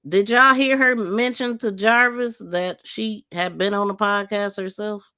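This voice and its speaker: A woman's voice: mid-range voice, steady tone, measured pace, pushed and bright, clear, resonant tone. A woman hosting a crime podcast, giving casual, blunt commentary in an exasperated, scornful manner with emphatic repetition and swearing. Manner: emphatic, repeats phrases mockingly. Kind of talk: casual live commentary on played audio.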